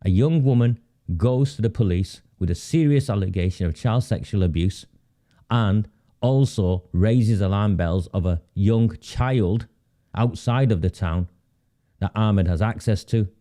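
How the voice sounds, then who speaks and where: A man's voice: fairly low pitch, unhurried, dark tone. A man, sniffly from a heavy cold, delivering an indignant monologue at home and repeating key points for emphasis. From Oldham, England. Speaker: independent campaigner presenting his channel.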